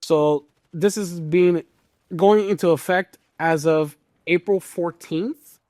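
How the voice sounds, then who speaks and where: A man with a slightly high voice presents gaming news as a podcast host. He talks casually and leans on words for emphasis. The recording is dry and close. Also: slow pace, darkish tone, no room sound.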